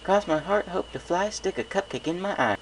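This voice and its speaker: A man acts out an earnest little filly pony, pitched well up from an adult voice. The delivery is emphatic, folksy and childlike, swinging eagerly through a storybook tale. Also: expressive, brisk, slight background noise, rough recording.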